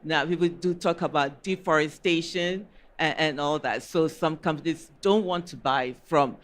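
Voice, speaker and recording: A man with a Ghanaian accent speaks earnestly on a trade-show panel. His voice sits a little higher than most men's, and he explains at length with small restarts. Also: normal speed, a bit bright. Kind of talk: panel discussion.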